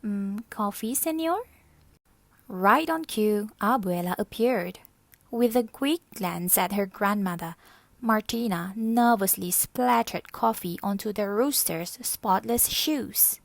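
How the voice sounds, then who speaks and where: A woman's voice: mid-range voice, takes it slowly, vocal fry, no echo. A woman reading a children's storybook aloud as scripted narration. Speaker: storybook reader.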